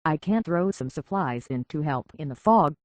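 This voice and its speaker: A man's voice: on the high side, animated, moderate pace, dry recording, natural voice. An actor playing a plain-spoken, folksy island barkeep in a video game, blunt and concerned for his own people. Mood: blunt, grudging. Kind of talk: video game character dialogue.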